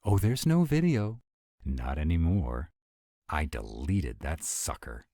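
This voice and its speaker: Deep male voice, man acting theatrical supernatural dinner guest, audio drama, animated range, husky, dry close sound. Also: takes it slowly, no echo, heavily acted. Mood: flustered, defensive. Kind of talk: scripted roleplay audio drama.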